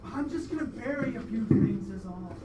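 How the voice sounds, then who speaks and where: A woman's voice: a little high, lively intonation, moderate pace, over loud music, lightly acted. A woman playing a glib, defensive man who shoots crocodiles, in a live radio play. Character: brash, dismissive crocodile-shooting man, expectant father, Leonard. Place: live performance venue, recorded from off. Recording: distant, echoey live stage recording, loud noise.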